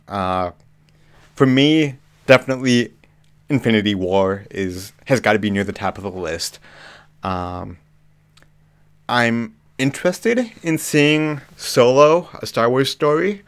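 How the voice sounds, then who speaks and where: A man hosting a live movie chat, slightly hoarse with a cough, talking casually with lots of ums. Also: medium pitch. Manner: relaxed, frequent ums and restarts. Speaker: livestream host. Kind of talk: casual live chat reading viewer comments.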